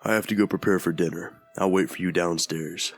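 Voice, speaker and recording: A man voicing a cautious, protective young male hotel coworker in an audio drama, speaking calmly. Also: low-pitched, fairly quick, soft background music. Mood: cautioning.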